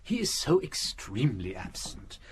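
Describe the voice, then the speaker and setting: An actor with a British accent playing a gentle, shrewd priest detective in a radio drama, chuckling and teasing as he patiently explains. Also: medium pitch, wildly swooping pitch, moderate pace, slight background noise. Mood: quietly triumphant.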